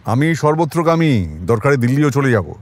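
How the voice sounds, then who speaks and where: Commanding mid-pitched detective. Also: male voice, lively intonation, normal speed, darkish tone. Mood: probing, commanding. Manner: terse, authoritative, dryly probing. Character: shrewd, commanding male detective. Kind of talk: audio drama acting.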